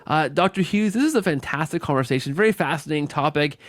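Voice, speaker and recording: A man's voice: a little high, expressive, fast talker, dry recording. A man hosting a conversational interview, effusive, repeating words and often tagging sentences with "right?". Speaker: podcast host.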